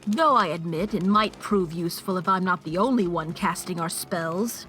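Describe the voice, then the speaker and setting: A woman's voice: on the low side, moderate pace, a bit bright, soft background music, close to an everyday voice. A woman playing a haughty, scheming changeling queen and older sister in an audio drama, boastful and condescending. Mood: teasing, condescending. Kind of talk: fan audio drama acting.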